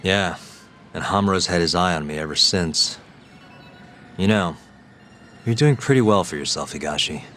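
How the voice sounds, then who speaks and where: An actor playing a cocky, sardonic civilian among gangsters in a video game crime drama, with a cool, needling delivery. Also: male voice, deep voice, normal speed, long pauses, fairly bright tone, slight background noise. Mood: wry. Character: cocky, sardonic male friend of yakuza, unafraid civilian. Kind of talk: dramatic English game voice acting. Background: prominent music.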